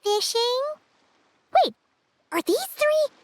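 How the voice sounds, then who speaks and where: A tiny cheeky girl fairy for a video game, extremely high and squeaky. The delivery is bubbly and babyish, bouncing up and down in pitch, over faint music. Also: lively intonation, takes it slowly, exaggerated voice. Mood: excited, nosy. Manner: bubbly, refers to self in third person. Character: tiny childlike female fairy companion, cheeky and excitable.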